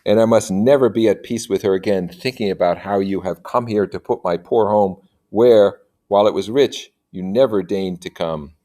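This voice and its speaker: A man reads the part of an impoverished medieval gentleman in a storybook, devoted and sorrowful in love. His speech is earnest, courtly and formal, in a rather low voice. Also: normal speed, hardly any pauses, close to an everyday voice.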